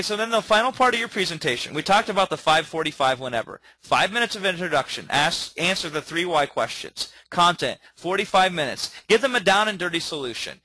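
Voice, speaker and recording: A man teaching a webinar in an energetic way, often checking in with okay and all right. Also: fairly high pitch, no room sound, poor audio. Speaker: webinar trainer.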